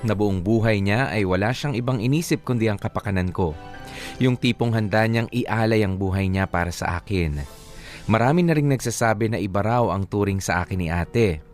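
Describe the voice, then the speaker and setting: Slightly low male voice, scripted story narration, radio drama narrator, faint guitar music behind. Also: moderate pace.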